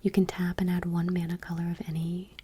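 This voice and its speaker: A woman doing ASMR, reading gently and unhurriedly in a breathy whisper, with casual asides. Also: fairly low pitch, flat delivery, a little fast, dry recording. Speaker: ASMR host.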